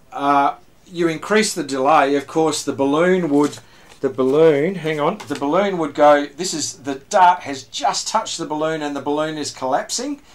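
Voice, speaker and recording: An older man presenting to a radio club in a casual, rambling show-and-tell, with lots of fillers and restarts, recorded in a small room on a camera mic. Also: on the high side. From Australia. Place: small room with green backdrop.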